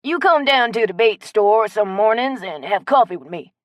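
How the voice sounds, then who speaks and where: A woman voicing a friendly, generous old man with a loud, drawling way of talking in an audiobook. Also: mid-range voice, expressive, moderate pace, no room sound, close to an everyday voice. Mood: folksy, hearty. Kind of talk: audiobook character voice.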